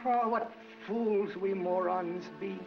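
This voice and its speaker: A man's voice: sits high, steady tone, slow pace. A man playing an earnest, sympathetic young American soda jerk in a 1940s film comedy. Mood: commiserating. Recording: dull 1940s film soundtrack with music.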